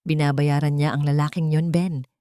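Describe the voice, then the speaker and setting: A woman's voice: low-pitched, flat delivery, normal speed, mellow, clean tone, no echo, clean studio sound, lightly acted. A woman voicing a spiteful, scheming sister who goads and taunts with a sneer. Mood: smug taunting.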